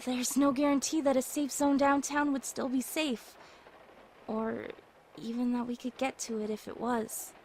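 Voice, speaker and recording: A woman's voice: sits high, little pitch movement, normal speed, a little hiss or hum. A woman playing a youthful, practical girl survivor in an audio drama, polite, earnest and a little hesitant as she explains. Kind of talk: fan audio drama acting.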